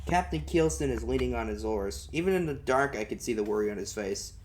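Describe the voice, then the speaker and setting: A man's voice: medium pitch, expressive, brisk, a little hiss or hum. Man narrating a folksy first-person story. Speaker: amateur audiobook reader.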